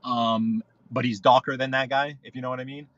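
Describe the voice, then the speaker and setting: A man tells stories from behind the wheel, circling back and restarting his sentences as he goes. His voice is bright and forceful. The audio is dull and lo-fi, like a livestream feed. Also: medium pitch, fairly even intonation, brisk, no room sound. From New York. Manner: rambling, storytelling, frequent restarts. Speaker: livestream guest. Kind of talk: casual live podcast chat while driving. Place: in a car.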